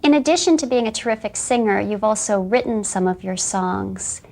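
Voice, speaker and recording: A woman hosts an interview. She asks measured, clearly phrased questions and adds short murmurs of attention while the guest talks. Her voice sits at a middle pitch. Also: a little mellow. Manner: brief, attentive backchannels. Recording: 1990s broadcast interview.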